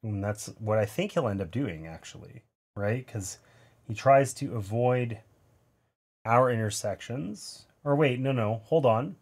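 A man in his thirties with a nasal, mid-pitched voice talks through a tabletop game from an indoor gaming table. He reasons each move aloud in a relaxed, casual way and drops wry little asides as he goes. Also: unhurried, slightly rough. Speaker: board game streamer. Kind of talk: casual play-through commentary.

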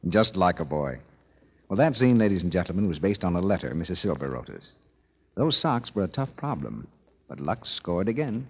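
A man announcing in smooth, salesy 1930s radio style. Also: deep voice, sing-song, moderate pace, a little mellow. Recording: dull old broadcast with long reverb.

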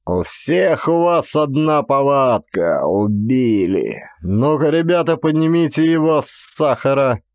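A man voicing a blustering, triumphant mine guard in an audiobook drama, excited and scolding. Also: medium pitch, measured pace, hardly any pauses, no room sound, studio quality. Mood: scornful, commanding. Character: blustering, triumphant male mine guard chasing gold thieves.

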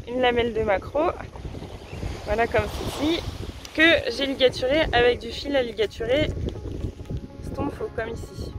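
A woman in her thirties from France, talking casually to camera on a beach, hesitant with many fillers and restarts. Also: fairly high pitch. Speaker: sea angler explaining her rigs. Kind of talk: casual gear explanation to camera. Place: outdoors on a beach by the sea. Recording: music playing underneath.

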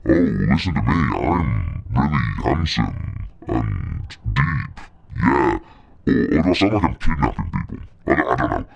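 Man doing a comically deep pitch-shifted male voice for a tutorial demo, extremely low and very gravelly, dull sound. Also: big pitch swings, a little slow, full character voice. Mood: playful. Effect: pitch shifter, one octave down.

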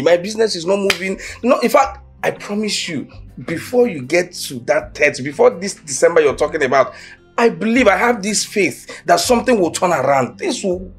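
Gravelly-voiced man as a broke boyfriend in a drama, quick to anger. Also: sits high. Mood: pleading. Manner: pleads then erupts into shouting. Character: struggling young man, broke boyfriend, hot-tempered.

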